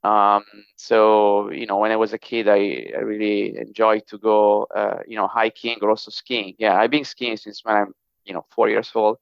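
An Italian-accented man in his thirties talks in a lower register, his voice smooth and resonant. He speaks haltingly, filling gaps with "um" and "you know". The sound is dry and a little dull, as if from a modest microphone. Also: flat delivery, no room sound. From northern Italy. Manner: hesitant, many fillers and restarts. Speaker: podcast guest, technology executive. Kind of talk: casual interview talk.

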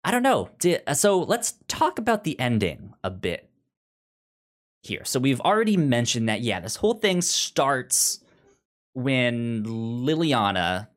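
A man with a medium-pitched voice talks as a podcast co-host in a casual review discussion. He is halting, often doubling back on words and restarting as he goes. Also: sing-song.

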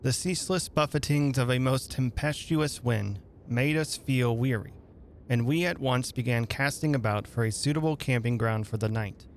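Gravelly male narrator reading a dramatic tale. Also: medium pitch, a little hiss or hum. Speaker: reader and host of a dramatic reading radio show. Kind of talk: dramatic audiobook narration of a literary story.

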